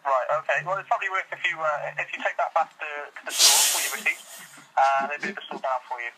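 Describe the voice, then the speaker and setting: A man's voice: a little high, fast talker. A British man working as a customer service agent, taking a telephone call. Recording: noisy telephone line.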